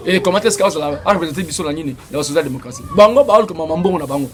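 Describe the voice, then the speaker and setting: Insistent high-voiced Congolese man. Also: racing, a crowd in the background. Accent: Congolese French. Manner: insistent, argumentative, with many hesitations. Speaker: interviewee. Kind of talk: heated political debate.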